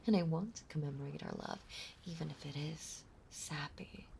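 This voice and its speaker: A woman playing a possessive, lovesick mermaid monster girl in an intimate audio roleplay, breathy and often whispering, with a doting, clingy delivery. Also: low voice, normal speed, warm tone, a little hiss or hum. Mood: lovesick, giddy. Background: ocean ambience.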